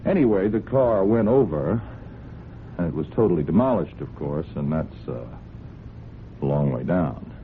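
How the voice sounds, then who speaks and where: A man's voice: deep voice, animated, moderate pace. An actor playing a folksy police sergeant in a radio drama, hesitant and apologetic as he politely probes with mild questions. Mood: apologetic, explaining. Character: folksy, polite but quietly probing male police sergeant. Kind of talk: old-time radio drama acting. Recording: muffled, hissy old radio drama recording.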